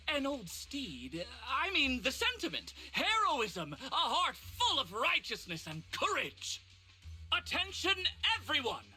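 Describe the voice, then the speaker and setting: A woman playing a bossy, excitable film director in a video game dub, theatrical and commanding. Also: high-pitched, heavily acted. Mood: earnest. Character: bossy, excitable female film director rallying her crew. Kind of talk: animated game dub acting.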